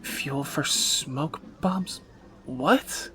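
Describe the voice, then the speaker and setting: A man playing a teasing, protective captor turned lover in a romantic fantasy audio roleplay, bantering and soft-spoken. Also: on the high side, very slow, slight background noise, exaggerated voice. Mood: teasing, curious.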